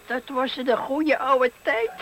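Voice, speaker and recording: A man's voice: very high-pitched, steady tone, takes it slowly, pushed and bright, heavily acted. An actor playing a cheeky, chuckling old man who gossips on a bench in a radio play. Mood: amused, teasing. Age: older. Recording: noisy 1970s radio play recording.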